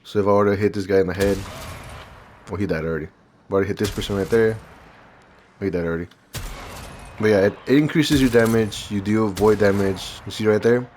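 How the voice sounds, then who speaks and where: A man's voice: lowish voice, fairly quick, pauses often, a little mellow, a little hiss or hum. A man with a congested, nasal voice gives casual gameplay commentary, rambling informally and thinking aloud as he explains. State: congested from recovering from illness. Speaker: gaming video creator and host.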